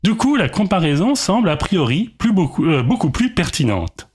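Husky, lively Frenchman presenting a column. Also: high-pitched, normal speed. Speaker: radio columnist. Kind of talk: prepared radio column.

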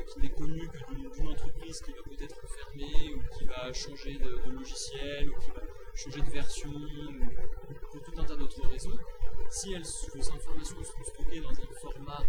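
A man giving a semi-spontaneous explanatory talk, didactic and methodical, often halting and repeating words as he goes. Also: a little high, low-quality recording. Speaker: free software advocate.

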